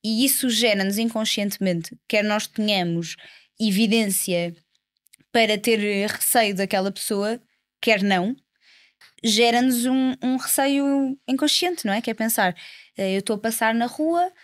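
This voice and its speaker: A young woman in her twenties speaks with a European Portuguese accent in a fairly high voice. She talks earnestly and at length as a podcast guest, with frequent fillers. The sound is close and dry, with no room echo. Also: a little slow. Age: 20s. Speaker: politician guest. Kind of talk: casual podcast conversation.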